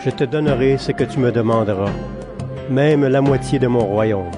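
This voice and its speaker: A man's voice: medium pitch, moderate pace, over music, close to an everyday voice. An actor playing an indulgent, boastful king in an audio Bible drama, speaking grandly. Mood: generous, boastful.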